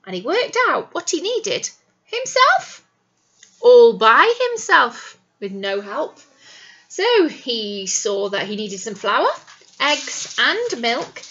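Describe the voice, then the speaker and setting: A woman teacher talks to small children as she shares a picture book. Her voice is bright and rather high, swinging widely in pitch. She explains things and keeps checking in with questions. The sound is slightly dull. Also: huge pitch swings, slow pace. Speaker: primary school teacher. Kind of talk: reading a picture book aloud to young children. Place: small indoor classroom. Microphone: webcam or device at arm's length.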